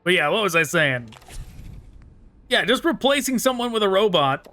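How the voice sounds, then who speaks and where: A man's voice: sits high, sing-song, normal speed, sharp tone, soft background music. A man giving casual, informal let's play commentary with amused musing as he plays. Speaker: gaming streamer.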